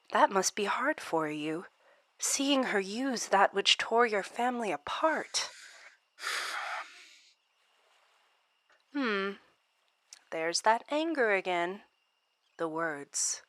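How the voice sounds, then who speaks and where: A woman's voice: mid-range voice, sharp tone, no room sound, heavily acted. An actress playing a smug, playfully cruel supernatural woman in a horror audio drama, speaking in a mocking, theatrical way. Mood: condescending. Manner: mocking, theatrical, breaking into chuckles and sighs.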